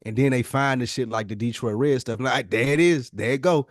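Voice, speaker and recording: A man's voice: mid-range voice, fairly quick, no echo, clean studio sound. A man hosting a podcast with casual commentary, blunt and slangy, repeating phrases for emphasis. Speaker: podcast host.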